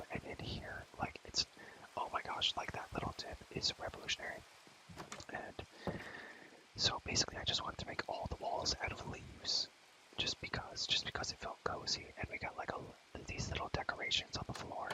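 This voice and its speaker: A young man whispering a casual, rambling ASMR gaming commentary, often repeating phrases and using relaxed slang. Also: rough recording. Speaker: ASMR video creator.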